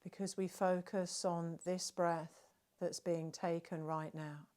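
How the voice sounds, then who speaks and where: Slow, even-toned woman guiding relaxation. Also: lowish voice. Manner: gentle, soothing, unhurried with long pauses. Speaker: relaxation guide. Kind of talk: guided meditation narration.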